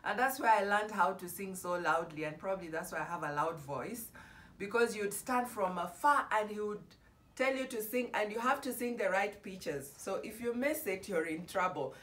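A middle-aged woman from Kenya giving a soft-spoken, halting devotional introduction before singing a hymn. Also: mid-range voice, lively intonation. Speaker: gospel singer. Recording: voice a bit far from the mic.

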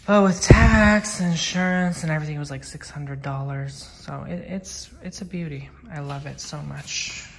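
Gravelly-voiced chatty man vlogging. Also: on the high side, moderate pace, hardly any pauses, noisy background. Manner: chatty, rambling, heavy use of "like". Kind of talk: casual vlog chat. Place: home, indoors. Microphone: handheld phone camera.

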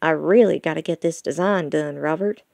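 A grown wolf son with a casual, slightly harried manner, played by a woman narrator. His voice sits low, with a creaky edge and a close, dry sound, as in an audiobook. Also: moderate pace, darkish tone, no room sound. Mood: weary. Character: adult male wolf architect, dutiful, mildly exasperated son. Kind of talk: audiobook character dialogue.

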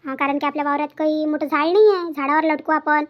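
A woman's voice: high voice, fairly even intonation, fast talker, muffled recording, natural voice. A woman voicing a bossy, scolding middle-aged village auntie in a comedy cartoon, with a Vidarbha Marathi accent and a nagging, commanding manner. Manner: nagging, commanding, repeats orders.

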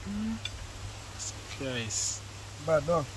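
A young Jamaican man joking around outdoors while eating, with excited slang exclamations. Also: on the high side, drawn-out words, noisy background. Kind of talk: casual banter. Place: rural yard outdoors.

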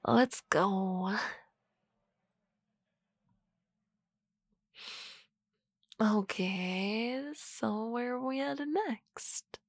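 A woman's voice: a little high, steady tone, drawn-out words, dry recording, clean studio sound, full character voice. A woman voicing a drunk young female supervisor in an ASMR roleplay, rambling and often dropping to a whisper. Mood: tipsy. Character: tipsy young woman boss, flustered, shy crush. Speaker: voice actress.

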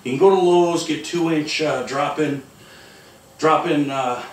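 A folksy man in his forties talks through a repair job with plenty of jokes, his voice sitting a bit high for a man. He is some way from the microphone in an echoey garage, with clear noise and clatter behind him. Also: a little slow. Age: 40s. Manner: joking, folksy, chatty while working. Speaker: homestead channel host. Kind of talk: casual how-to demonstration. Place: garage workshop, indoors. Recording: echoey garage, distant mic, noisy.

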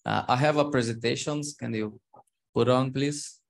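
Brazilian man, farmer panelist; low, gravelly, hesitant, off-mic in a room. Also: moderate pace. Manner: hesitant, apologetic about his English. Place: conference room with audience.

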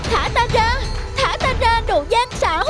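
A woman's voice: very high voice, expressive, a little slow, over loud music. A woman voicing a small, frightened mouse who pleads and exclaims in a cartoon fable. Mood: desperate, indignant.

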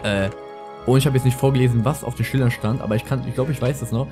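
A man's voice: medium pitch, steady tone, fast. A young man with a lisp giving casual, rambling, slangy gameplay commentary as a let's player. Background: music.

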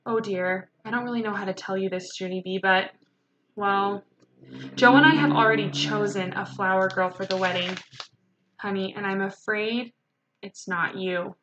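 A woman voicing a kindly adult aunt in a children's storybook, speaking haltingly and gently. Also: medium pitch, steady tone, dull audio, noisy background, natural voice. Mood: apologetic. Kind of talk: children's storybook read-aloud.

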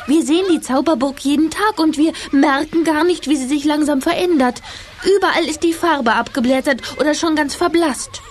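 Lady doing an earnest little girl in a kids audio play, high voice, really keen. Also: normal speed, a little hiss or hum, natural voice. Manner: earnest, enthusiastic. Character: earnest, enthusiastic artistic rainbow girl. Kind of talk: children's radio play acting.

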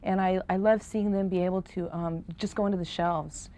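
A woman's voice: lowish voice, steady tone, brisk, mellow. A woman in her thirties, an instructor, talking in an unscripted interview testimonial. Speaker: after-school instructor interviewee. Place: indoors in a library.

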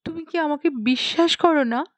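A woman's voice: sits high, moderate pace, no echo, voice pushed up, close to an everyday voice. A woman voicing a naive, timid, tender-hearted young woman cook in an audiobook story, anxious and earnest. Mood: eager, defiant.

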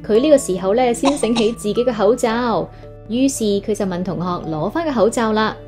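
A woman's voice: fairly high pitch, a little fast, darkish tone, soft background music. A woman with a Hong Kong Cantonese accent reading a children's story aloud expressively, as if to young listeners.

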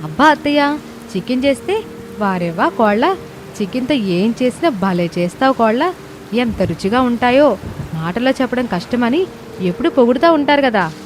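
A woman's voice: a little high, animated, moderate pace, lightly acted. Woman acting a plaintive young daughter-in-law, faint noise. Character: dutiful, easily hurt young daughter-in-law and mother. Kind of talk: Telugu moral-story cartoon voice acting.

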